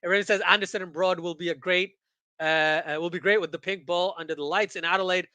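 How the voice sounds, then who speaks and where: Male livestream cricket host from Pakistan, high and bright voice, close dry sound, excitable casual chat. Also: fast talker, smooth, no room sound. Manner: excitable, repeats phrases for emphasis. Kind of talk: casual watchalong chat, reading viewer comments aloud.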